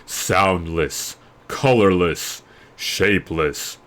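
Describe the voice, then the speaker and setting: A man acts out a solemn enchanted mirror from a fantasy storybook. It pronounces judgement ominously, stretching each word out very slowly. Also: deep voice, fairly bright tone, exaggerated voice. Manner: slow, drawn-out, ominous pronouncements.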